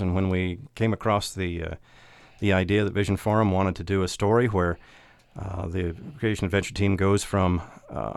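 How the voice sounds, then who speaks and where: A middle-aged man, a guest writer, reminiscing casually and haltingly as he thinks aloud. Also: deep voice, no room sound, clean studio sound.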